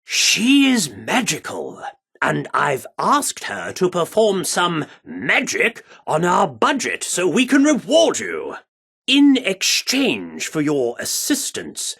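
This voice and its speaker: Florid, swooping British theatre grandee. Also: male voice, high voice, vocal fry, fairly bright tone, husky, heavily acted. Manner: florid, grandiose Shakespearean turns of phrase. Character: pompous, excitable British theatre director obsessed with Shakespeare. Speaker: video game character voice. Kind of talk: theatrical game dialogue.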